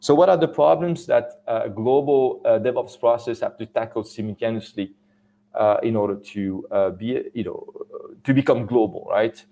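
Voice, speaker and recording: A middle-aged Swiss man with a French accent, giving a technical conference talk in a halting way, with many fillers and restarts. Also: mid-range voice, vocal fry, dark tone. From Switzerland. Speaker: conference speaker.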